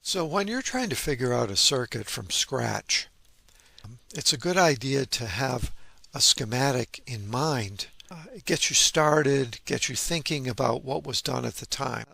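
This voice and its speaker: A man with a heavily creaky, gravelly voice and a bright, forceful edge presents technical material informally. He explains in measured steps and stresses key words. The sound is close and dry. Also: medium pitch, animated, dry recording. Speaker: presenter of a vintage ham radio channel.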